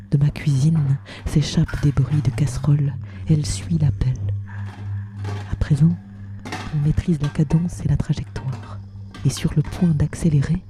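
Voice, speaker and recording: A woman narrating literary audio fiction in measured short phrases, with a hushed, breathy voice. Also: deep voice. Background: music.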